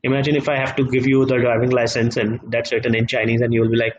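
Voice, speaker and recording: A man speaking as a guest in a live online discussion, halting often with fillers and repeated words. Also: mid-range voice, completely flat delivery, lo-fi sound. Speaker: guest economist.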